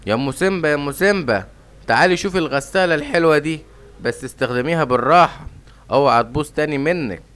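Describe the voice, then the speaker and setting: A man's voice: a little high, quick pace, a bit bright, lightly acted. A man voicing an obliging, hasty father lion in a cartoon, with an Egyptian Arabic accent. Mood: eager. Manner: hasty, eager to please. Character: hurried, obliging father lion, king of the jungle.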